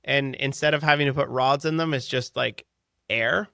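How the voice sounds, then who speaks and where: A man in his thirties co-hosting a podcast, upbeat, reading listener questions and riffing in casual chat from a home studio on a close boom mic. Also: fairly even intonation, moderate pace, vocal fry, sharp tone, no echo. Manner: upbeat, reads listener questions then riffs loosely. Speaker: podcast co-host. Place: home studio with headphones, indoors. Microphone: close studio mic on boom arm.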